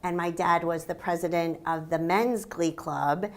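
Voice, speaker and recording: Middle-aged woman guest, mild Chicago accent, lower pitch, friendly measured delivery. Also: steady tone. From Chicago suburbs, Illinois. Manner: friendly, measured, a little self-deprecating. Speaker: guest, business executive and author. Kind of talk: conversational interview.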